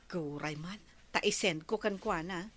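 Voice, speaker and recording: A woman's voice: on the low side, unhurried. A woman playing a bossy, protective older sister, chatty and nagging, with an Ilocano Filipino accent in a comedy radio drama. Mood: coaxing. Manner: animated, nagging, forceful.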